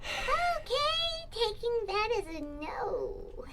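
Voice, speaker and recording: A woman voicing a tiny childlike fairy companion in a video game, bubbly, squeaky and helpful. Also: sing-song, drawn-out words, very noisy, exaggerated voice. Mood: thoughtful. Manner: bubbly, exclaiming, refers to self by name. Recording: loud game music behind. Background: game music.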